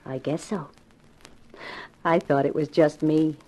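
A woman's voice: sits low, steady tone, slow, warm tone, rough recording. An actress playing a worldly, alluring club woman, intimate and knowing, in a 1950s radio crime drama. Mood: shrewd, knowing.

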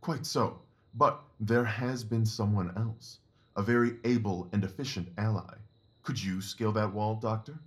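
An actor playing a keen, self-assured Victorian detective in an audio drama, speaking in a precise, deliberate way. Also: male voice, lowish voice, fairly even intonation, close to an everyday voice. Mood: reasoning, confident.

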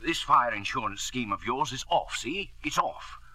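An actor playing a nervous, indignant business partner in 1950s radio drama, husky, blustering and stammering with agitation. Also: male voice, medium pitch, animated, normal speed, bright, forceful tone, no room sound, exaggerated voice. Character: nervous, indignant small-time business partner, easily alarmed.

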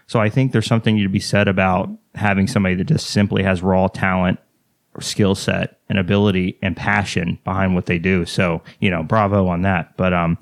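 A lowish, dryly recorded male voice with a light Texas accent. He hosts a casual interview, rambling through long questions and poking fun at himself as he goes. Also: gravelly, dry recording, clean studio sound. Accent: American, slight Texas. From Texas, United States. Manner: rambling, self-deprecating, jokey. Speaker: podcast host interviewing a guest.

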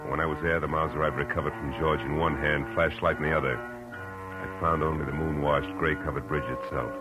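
An American man playing a hard-boiled Los Angeles private detective in a radio drama, with clipped, commanding, world-weary speech. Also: very deep voice. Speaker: lead actor and first-person narrator. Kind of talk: 1940s radio detective acting and narration. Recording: noisy old-time radio recording.

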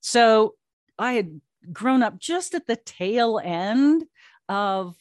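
A woman in her sixties speaking conversationally as an interview guest, telling her story in a chatty, animated way. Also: on the high side, extremely slow, no room sound, clean studio sound. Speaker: guest, audio drama producer and creative officer.

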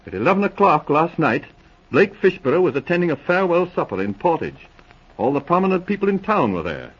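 An actor playing an eager, excitable police officer giving urgent reports in a 1940s radio drama. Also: male voice, a little high, fairly quick, husky. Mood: earnest reporting. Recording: muffled old radio recording.

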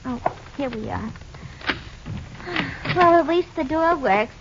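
Wide-eyed American showgirl, very high voice, chatty, breathless, muffled vintage radio drama. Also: animated, slow pace. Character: excitable young showgirl musician, plain-spoken and impressionable. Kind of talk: 1940s-50s radio acting. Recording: muffled, hissy old radio recording.

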